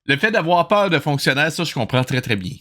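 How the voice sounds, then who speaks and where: A man's voice: fairly high pitch, expressive, brisk, background noise. A man hosting a casual, humorous reaction commentary on a cartoon, with a wry, joking manner.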